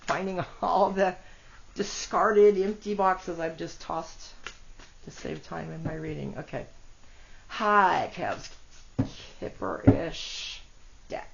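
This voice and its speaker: A woman with a congested, rough voice gives a chatty tarot reading, talking to her cards and her viewers. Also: fairly low pitch, slow pace. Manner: chatty, self-deprecating, talks to cards and viewers. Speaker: tarot reader. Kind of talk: casual solo tarot reading. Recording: dull lo-fi audio with faint noise.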